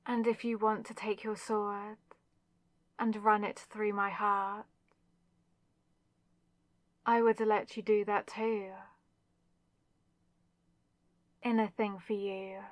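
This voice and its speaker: A woman playing a possessive, lovesick demonic villainess in a dramatic ASMR audio roleplay, speaking with intense, deliberate pauses. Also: medium pitch, level tone, no echo, close to an everyday voice. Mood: pleading devotion.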